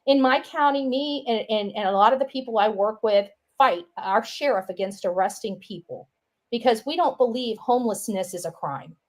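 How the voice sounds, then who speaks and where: Higher-pitched woman, rambling and opinionated. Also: normal speed, darkish tone. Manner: rambling, repeats herself, says "you know" and "right" often. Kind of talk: casual opinionated monologue.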